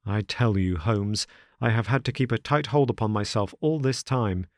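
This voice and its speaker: A man voicing an anxious, careworn young gentleman who speaks in an urgent, distressed way. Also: fairly low pitch, normal speed, no echo, studio quality, close to an everyday voice.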